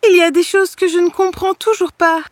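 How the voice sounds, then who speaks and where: A woman voicing a sweet, eager young twin sister in an animated fairy tale, with a coaxing manner. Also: very high voice, brisk. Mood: pleading.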